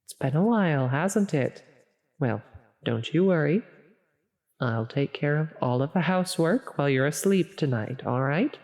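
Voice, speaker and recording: A woman voicing a caring, flustered demon who insists she is evil, reassuring and chatty with stammers and self-interruptions, in a soft comfort audio roleplay. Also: low-pitched, expressive, moderate pace. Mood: gently reassuring. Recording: long reverberant echo on voice.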